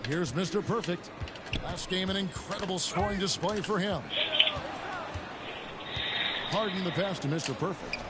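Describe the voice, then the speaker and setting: A man playing a brisk play-by-play basketball announcer in a video game, making clipped, punchy calls. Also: high-pitched, lightly acted. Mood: calling play. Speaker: play-by-play sports commentator. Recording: loud arena crowd, ball bounces, noisy.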